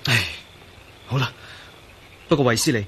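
An actor in a radio drama playing a troubled, excitable man who tells of his ordeal in Hong Kong Cantonese, with sighs and hesitation. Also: mid-range voice, moderate pace, slight background noise, lightly acted. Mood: reluctant, resigned. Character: troubled man named Ah-Yin, turned invisible, recounting his ordeal.

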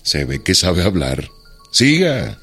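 A man playing a proud, wary Mexican bandit leader in a radio drama, with a gruff, commanding, sardonic way of talking. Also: deep voice, huge pitch swings, takes it slowly, fairly bright tone, soft background music, exaggerated voice. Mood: sardonic, amused.

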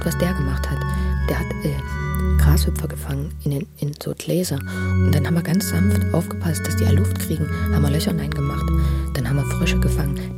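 A person telling personal memories in a spontaneous, confiding way, halting and often repeating words. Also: medium pitch. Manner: halting, repetitive, earnest, confiding. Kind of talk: intimate spontaneous storytelling in a documentary radio play.

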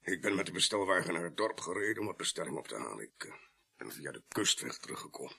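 A man's voice: low voice, very animated, fast talker, vocal fry, sharp tone, slightly rough, full character voice. An actor playing a tipsy, shaken well-to-do man in his fifties in a radio play, faltering and repeating himself. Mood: shaken, haunted. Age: 50s.

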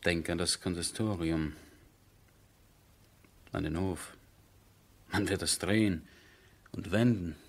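An actor playing a sober, dutiful army major who speaks in measured, weighty phrases in a 1970s German radio drama. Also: male voice, sits low, full character voice. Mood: urgent, admonishing.

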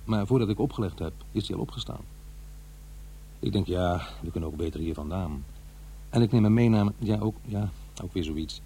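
A man's voice: low-pitched, brisk, dark tone, slight background noise, lightly acted. An actor playing a middle-aged divorced father who muses wryly over his memories in a radio drama. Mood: musing, wry. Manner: musing, hesitant, talking to himself. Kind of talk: radio drama monologue, conversational and introspective.

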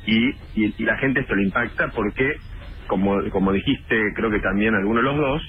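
A man's voice: fairly low pitch, fairly quick. A man with an Argentine accent arguing a point in a radio discussion, hesitant and repeating words. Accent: Argentine Spanish. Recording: tinny phone-like radio clip, faint noise.

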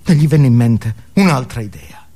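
A male actor playing a guru who tells a parable in deliberate, suspenseful theatrical style. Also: medium pitch, lively intonation, warm tone, close to an everyday voice. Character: manic guru as hushed, folksy male storyteller. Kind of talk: dramatic theatrical storytelling.

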